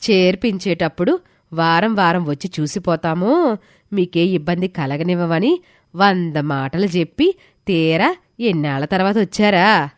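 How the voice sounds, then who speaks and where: A woman's voice: lowish voice, lively intonation, unhurried, no room sound, clean studio sound, pitched below the performer's own voice. A woman voicing a defensive, scolding hostel warden with a sarcastic, reproachful manner.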